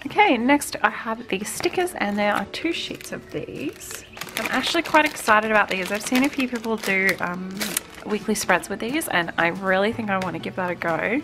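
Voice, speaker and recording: A woman's voice: medium pitch, moderate pace, background noise. A woman, chatting through a stationery unboxing, slightly nasal from a cold and cooing over small items. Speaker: vlogger unboxing a stationery subscription box. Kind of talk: casual unboxing chat.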